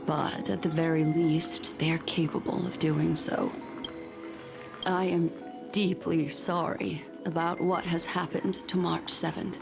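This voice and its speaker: An enigmatic woman who keeps memories and secrets, in a low register. She speaks formally and deliberately, always composed and courteous, as in a video game cutscene, with music clearly behind her. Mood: apologetic, solemn. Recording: background music under the voice.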